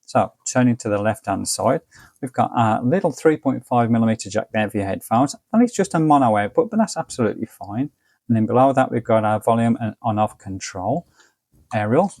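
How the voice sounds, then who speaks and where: A man's voice: medium pitch, expressive, a little fast, a little mellow, no echo, studio quality. A man presenting a casual product review, explaining details in a methodical, matter-of-fact way. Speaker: product reviewer and channel host.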